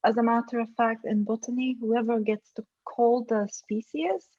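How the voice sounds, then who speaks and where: A woman's voice: a little high, level tone, moderate pace, dark tone, highs cut off. A woman on an academic panel, speaking thoughtfully and a little self-consciously over a video call.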